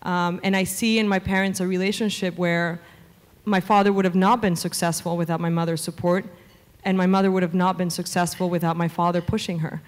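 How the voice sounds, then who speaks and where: A woman's voice: lowish voice, little pitch movement, brisk. A woman in her thirties from Venezuela, a media executive answering onstage interview questions into a handheld mic, fluent with frequent "um" fillers. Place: conference stage before a seated audience. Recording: reverberant conference hall.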